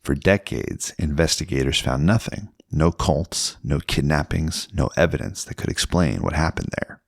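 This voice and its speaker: A man's voice: rumbling low, normal speed, vocal fry, no echo, clean studio sound. A man reading a scripted, dramatic promo narration as a podcast host introducing a show.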